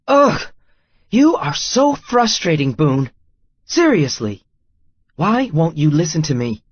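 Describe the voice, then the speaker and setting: A woman voicing an exasperated, guarded young love interest in a romance audiobook, with a breathy voice. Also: low-pitched, very animated, slow, no room sound, lo-fi sound, heavily acted. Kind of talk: acted audiobook dialogue.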